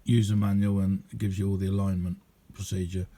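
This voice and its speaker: A man giving a casual, rambling hands-on demonstration as a radio hobbyist, chatty and hesitant in an unhurried way. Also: low-pitched, flat delivery, warm tone.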